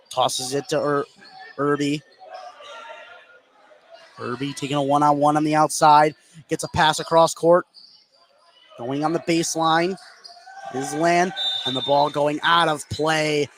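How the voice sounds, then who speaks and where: A man giving live play-by-play radio commentary of a high school basketball game, energetic and lifting with the action. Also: unhurried, pauses often, slight background noise.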